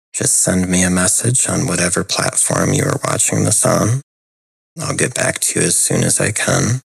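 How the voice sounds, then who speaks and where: A man speaking soft ASMR as a hypnotist, hushed and breathy, soothing and deliberate, close to the microphone. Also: low-pitched, no room sound, poor audio. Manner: slow, soothing, deliberate with pauses.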